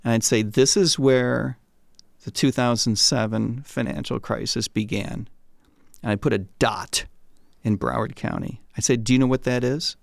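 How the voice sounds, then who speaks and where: A man's voice: mid-range voice, fairly even intonation, moderate pace, gravelly, no room sound, studio quality. A man in his sixties, a retired economist, telling stories as a guest in a casual interview and often repeating words when he starts a sentence.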